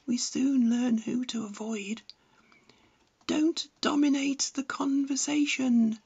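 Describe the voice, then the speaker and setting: A woman's voice: high voice, fairly even intonation, slow pace, vocal fry, a little mellow, breathy edge, dull audio. A woman reading scripted instructional narration in a blunt, didactic manner. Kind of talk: scripted narration, tutorial with opinionated advice.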